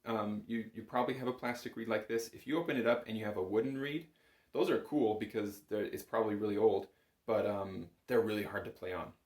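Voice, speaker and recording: A man with a fairly low voice gives an informal lesson. He explains things step by step in a reassuring, conversational way. The sound is noticeably roomy, as if recorded in a small room at home. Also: a little fast, a little mellow. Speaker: bagpipe instructor. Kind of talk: casual instructional talk.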